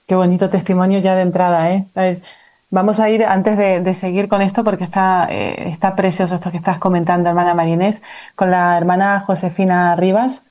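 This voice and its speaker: A woman's voice: fairly low pitch, little pitch movement, brisk, a little mellow. A woman hosting a conversational interview, affirming her guests as she listens and guides the talk. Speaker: interview host.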